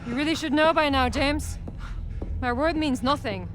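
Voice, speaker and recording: A woman's voice: high-pitched, moderate pace, music in the background, natural voice. An actress playing a cool, double-crossing female villain in a video game cutscene, mocking and self-assured. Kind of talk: cinematic video game acting.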